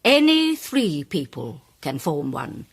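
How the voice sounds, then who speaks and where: Grand lady playwright in a radio mystery: self-important, declamatory, hugely animated delivery with a slightly husky edge. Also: sits low, exaggerated voice. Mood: pointed, assured. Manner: declamatory, grandiloquent, deliberate emphasis. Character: theatrical, self-important woman playwright presenting her case. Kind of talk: dramatised audio mystery, formal speech-making.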